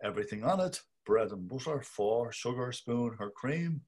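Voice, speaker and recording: An older actor playing a fussy middle-aged husband, muttering clipped thoughts and talking fondly to the cat, read over a video call. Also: male voice, mid-range voice, lively intonation, moderate pace, a little mellow, husky. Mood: musing. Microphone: video call webcam.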